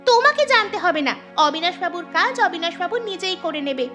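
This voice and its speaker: A woman's voice: very high voice, lively intonation, fast talker, bright, forceful tone, soft background music, exaggerated voice. A woman voicing a defiant, indignant young village girl in a cartoon, speaking firmly and without fear. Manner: indignant, firm. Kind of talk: Bengali cartoon voice acting.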